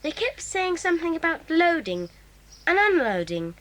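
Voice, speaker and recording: A woman voicing an excitable, eager schoolboy who talks in a lively, chatty, breathless way in a 1980s children's audio drama. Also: very high voice, moderate pace, exaggerated voice.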